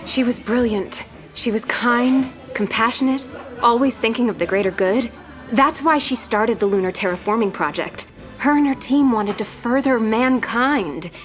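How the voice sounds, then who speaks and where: An actress plays an earnest young woman with a fairly high voice over faint music. She sounds emotional and defensive, an anxious, sincere character in a scripted audio drama. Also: normal speed, lightly acted. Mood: fond, earnest.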